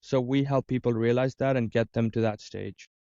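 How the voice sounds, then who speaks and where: A man from Dubai speaks as an interview guest in a soft, mellow tone with a dry, close sound. The recording is slightly dull. He explains his coaching work conversationally, often listing examples. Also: mid-range voice, fairly even intonation, normal speed, no room sound. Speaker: interview guest, career coach and business owner.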